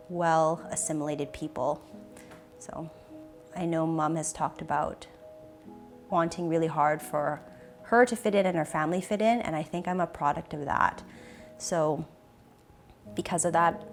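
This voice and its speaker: A young woman from Canada with a Canadian accent, speaking reflectively in an interview, thoughtful and hesitant, often pausing and restarting. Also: low-pitched. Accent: Canadian English.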